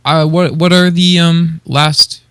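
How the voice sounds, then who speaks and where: A man's voice: fairly high pitch, level tone, takes it slowly, fairly bright tone, clean tone, no room sound, clean studio sound. A man chatting casually as a guest on a podcast.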